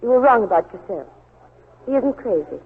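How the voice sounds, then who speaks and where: A poised, mysterious young woman in a vintage radio play. She is cool and coy, with a husky edge, heard through a thin, scratchy 1940s recording. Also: on the high side, expressive, normal speed, phone-quality sound. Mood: coy, confiding.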